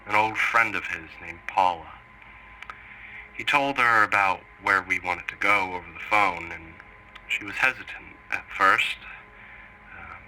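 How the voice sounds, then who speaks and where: A man plays a sober interviewee giving testimony in a horror mock documentary. His voice is deep with a very sharp, forceful edge, and he pauses often mid-sentence. Faint noise sits behind him. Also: heavily acted, rough recording. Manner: halting, deliberate, pausing mid-sentence. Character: earnest man soberly recounting an expedition to an interviewer.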